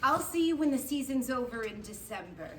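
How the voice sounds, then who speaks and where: A woman's voice: sits high, expressive, a little fast, distant mic. A woman playing a disapproving, sarcastic nun in her eighties in a comedy table read. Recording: echoey, noisy live room, off mic.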